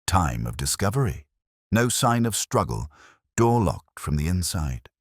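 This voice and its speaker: A man's voice: sits low, big pitch swings, a little slow, no room sound, studio quality, exaggerated voice. A man voicing a dutiful, practical police sergeant who reports in a clipped, matter-of-fact way in a detective audio story. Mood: brisk reporting. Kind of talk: scripted detective audio drama.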